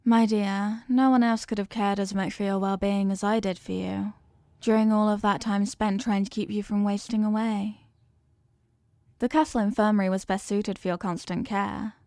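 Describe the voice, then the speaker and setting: A woman voicing a possessive, regal evil queen in a scripted ASMR roleplay, speaking formally and in measured phrases, doting yet coolly manipulative. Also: mid-range voice, no echo, studio quality, natural voice. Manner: formal, measured, softly commanding.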